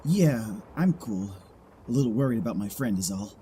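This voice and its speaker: A man's voice: lowish voice, expressive, moderate pace, noisy background, close to an everyday voice. A man acting an easygoing, caring young survivor in an apocalypse audio drama, casual and sincere. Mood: friendly. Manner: casual, laid-back, sincere.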